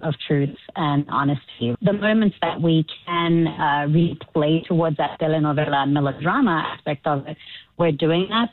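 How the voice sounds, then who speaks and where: A lively woman guest speaks in a slightly low voice, breaking into laughter and acted-out quotes. Her voice comes through a thin, phone-like line. She talks casually about her work. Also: no echo. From Chile. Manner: animated, laughs often, acts out quotes. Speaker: stage actor, guest. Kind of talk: casual interview chat.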